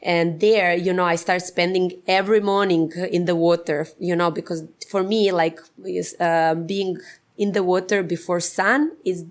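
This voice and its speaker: A woman's voice: on the low side, steady tone, unhurried. Rambling woman. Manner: rambling with many fillers and restarts. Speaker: film producer. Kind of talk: casual interview.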